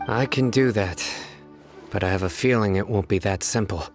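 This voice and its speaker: A male actor's wary, serious official from a video game, pitched on the low side. He speaks formally and with measured gravity. Prominent music plays behind the voice. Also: close to an everyday voice. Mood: grave, guarded. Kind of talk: scripted video game voice acting.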